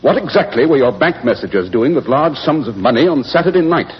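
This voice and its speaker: An actor playing a brisk, sardonic English detective with a clipped, dry and teasing delivery in 1940s radio drama style. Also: male voice, mid-range voice, very animated, husky. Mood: dryly ironic. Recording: muffled, reverberant, noisy old radio recording.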